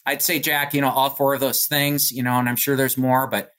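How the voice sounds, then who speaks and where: American guy, company exec in an interview, bright forceful voice, earnest corporate talk, says you know a lot. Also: medium pitch, level tone, a little fast. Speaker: company executive being interviewed. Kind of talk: business interview answer, conversational.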